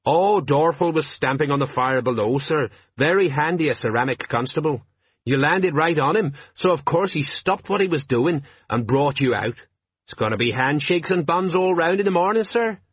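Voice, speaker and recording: A man doing an eager, excitable sergeant. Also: a little high, moderate pace, husky, no echo, muffled recording, voice pushed up. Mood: excited. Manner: breathless enthusiasm.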